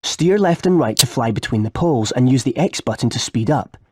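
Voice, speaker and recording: A man's voice: mid-range voice, animated, fairly quick, slightly rough, no echo, clean studio sound. A man playing an earnest teenage sports captain, giving businesslike coaching instructions in a video game. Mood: keen, instructive. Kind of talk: scripted video game tutorial.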